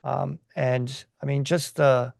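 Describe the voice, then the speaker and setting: Podcast guy, lowish voice with lots of fry, dry close sound, chatting enthusiastically. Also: level tone, takes it slowly, a little mellow, dry recording. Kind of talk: casual opinion chat.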